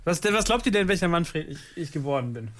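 A man's voice: on the high side, lively intonation, fast talker, a bit bright. A man hosting a radio show in a casual, rambling and playfully ironic way. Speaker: radio host. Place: radio studio.

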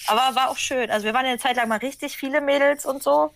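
A woman's voice: high-pitched, steady tone, fast, vocal fry, a bit bright, a little hiss or hum. A woman chatting casually in an interview, with a slangy, blunt, informal manner. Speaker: band member being interviewed. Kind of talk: casual chat.

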